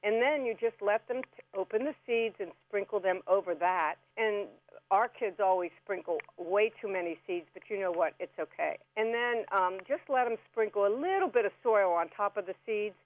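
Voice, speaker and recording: A woman's voice: mid-range voice, moderate pace. A woman giving casual, chatty step-by-step instructions as a radio guest, in a folksy way. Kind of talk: casual step-by-step how-to chat. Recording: band-limited phone-like radio call.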